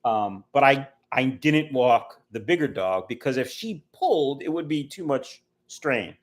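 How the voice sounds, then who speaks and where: Man in his fifties, lively pitch, middle-range voice, rambling live-chat host reading comments aloud. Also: a little slow. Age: 50s. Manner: chatty, rambling, answers viewer comments aloud.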